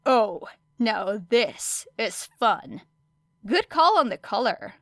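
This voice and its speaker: A woman's voice: on the high side, very animated, slow, fairly bright tone, dry recording, full character voice. A woman voicing a wry, nonchalant young female fighter in an audiobook story, casual and dry. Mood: pleased.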